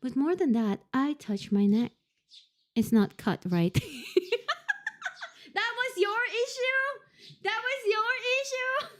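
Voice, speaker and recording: A woman's voice: very high voice, animated, slow, pauses often, fairly bright tone. A young woman streamer reading a visual novel aloud and reacting expressively with laughs and comments.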